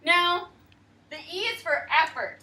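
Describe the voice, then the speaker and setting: A woman teacher leading a class of young children, slow and emphatic, asking the class questions. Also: extremely high pitch, lively intonation, sharp tone. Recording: distant classroom mic, faint noise.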